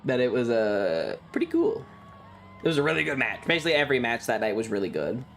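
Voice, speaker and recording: A man streamer talking casually and rambling through his material, halting often with stretched pauses. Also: mid-range voice, sing-song. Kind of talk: casual livestream chat over trip photos and videos.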